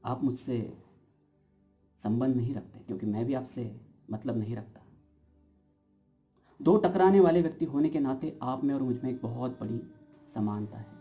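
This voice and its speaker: Dark-voiced, brooding theatrical everyman played by a man. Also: mid-range voice, expressive, faint music behind, close to an everyday voice. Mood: emphatic, questioning. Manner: deliberate, philosophical, dramatic emphasis. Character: brooding, uncertain everyman narrator of a play. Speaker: actor performing an audition monologue. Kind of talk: theatrical stage monologue addressed to the audience.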